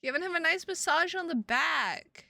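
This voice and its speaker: A woman live streaming games, chatting casually with viewers in an excitable, sweary way and repeating her phrases for emphasis. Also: very high voice, normal speed, creaky, no room sound, clean studio sound. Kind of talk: casual livestream chat with viewers.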